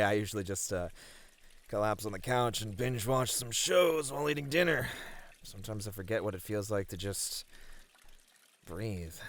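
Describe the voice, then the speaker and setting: A man's voice: lowish voice, fairly bright tone, lightly acted. A man acting an easygoing, friendly manager unwinding after work in an ASMR roleplay, casual and lightly flirty. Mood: weary, reflective. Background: pouring, trickling water.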